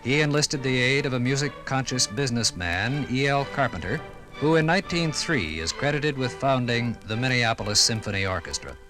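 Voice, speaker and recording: An American man reading scripted 1950s radio documentary narration in a formal, measured, authoritative manner. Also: medium pitch, lively intonation. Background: music.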